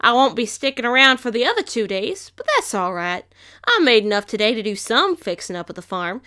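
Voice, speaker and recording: A woman voicing a blunt orange farm pony mare who speaks in a folksy country drawl, ranting loud and fed up. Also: a little high, very animated, brisk. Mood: resigned.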